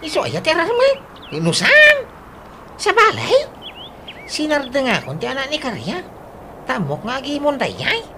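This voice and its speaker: A woman's voice: high-pitched, wildly swooping pitch, unhurried, long pauses, bright, forceful tone, exaggerated voice. A woman playing a pushy, lovestruck man in a comedic radio drama, with a Filipino accent and a wheedling, exclamatory delivery. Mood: excitable. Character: pushy, lovestruck man courting a much younger girl. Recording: clearly noisy radio drama recording.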